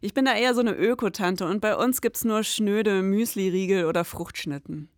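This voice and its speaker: A woman's voice: medium pitch, normal speed, no echo, studio quality. A woman in her forties co-hosting a podcast, mixing scripted narration with casual conversation. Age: 40s. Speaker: podcast co-host.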